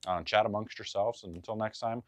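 A man's voice: lowish voice, steady tone, a little fast, very noisy. A man, talking casually to camera from a bowling pro shop and giving loose, chatty advice with jokey asides. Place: bowling pro shop in front of a ball wall.

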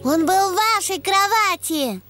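A woman's voice: extremely high pitch, animated, over loud music. A woman voicing a cheerful, earnest little boy donkey in a preschool cartoon, exclaiming in a childlike way. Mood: joyful. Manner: childlike, exclaiming, slowly drawn-out words.

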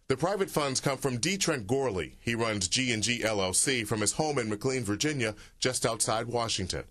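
A man narrating a TV news report in a steady, measured way. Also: medium pitch.

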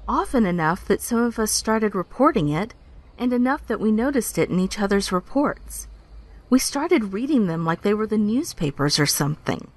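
Podcast drama: self-conscious young woman ranger, middle-pitched, hesitant, nervously confiding. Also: sing-song, moderate pace. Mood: defensive, anxious. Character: uneasy, apologetic young female park ranger. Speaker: actor in audio drama. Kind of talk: naturalistic podcast drama dialogue, recounting.

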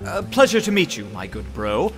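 A man's voice: fairly high pitch, very animated, moderate pace. A man voicing a gallant, pompous but cowardly young knight with a theatrical, formal manner in a cartoon fan dub. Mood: courteous. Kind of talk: animated comic fan dub. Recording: music behind.

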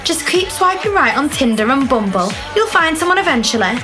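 Actress as brash, high-voiced young party girl. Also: very animated, brisk, music in the background. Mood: brazen, teasing. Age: young adult. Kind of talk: naturalistic student radio drama acting.

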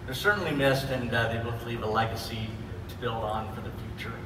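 A man's voice: mid-range voice, fairly quick. A middle-aged American man giving an informal stage presentation in a large convention hall, heard from the audience. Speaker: presenter, company executive. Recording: echoey hall from far off, noisy crowd.

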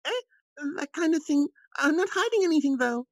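A man voicing an excitable, coy little female fairy in a storybook reading. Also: very high-pitched, normal speed, no echo, clean studio sound, pitched above the performer's own voice. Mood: evasive, flustered.

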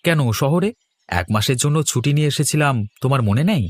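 A man's voice: a little high, lively intonation, brisk, no room sound, lightly acted. A man voicing a teasing city husband visiting the village in a cartoon, joshing and pleading with his wife. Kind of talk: cartoon voice acting.

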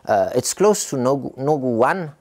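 A man's voice: medium pitch, animated, normal speed, darkish tone. A middle-aged man, a tea farmer, rambling casually through a vlog in his tea factory, full of filler sounds and restarts. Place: tea factory, indoors by a hot wok.

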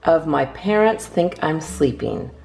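A woman reading children's poems aloud as a storytime host, with expressive, measured phrasing. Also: deep voice, vocal fry, faint music behind.

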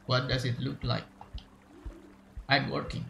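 Brief: curt game fisherman, low gravelly voice, put on by a streamer, Indonesian accent, echoey room. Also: flat delivery, measured pace, a bit bright. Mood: gruff. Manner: terse, clipped lines. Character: gruff male bird fisherman, curt. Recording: echoey room, faint music behind.

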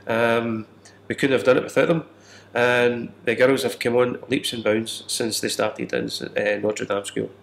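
A man's voice: mid-range voice, level tone, measured pace, vocal fry. A man in his fifties giving an interview account as a care worker. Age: 50s. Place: small office, indoors. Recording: echoey room.

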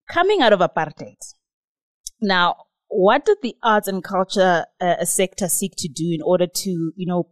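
A woman interviewer giving a formal, measured introduction and then putting questions to her guest. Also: fairly low pitch, no echo.

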